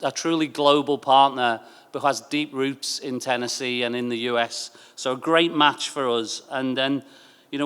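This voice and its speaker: A man giving a thank-you speech as a sports club executive, phrasing it in a halting way with repeated words. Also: mid-range voice, a bit bright, clear, resonant tone. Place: large stadium venue. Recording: long echoing stadium PA reverb.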